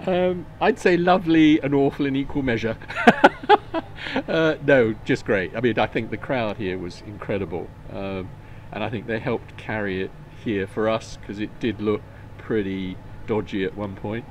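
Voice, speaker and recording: An older man, the parent of an athlete, chatting warmly in an outdoor interview at a marina. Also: on the low side, moderate pace, dull audio, a little hiss or hum. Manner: warm, chatty, laughs between answers. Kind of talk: casual interview.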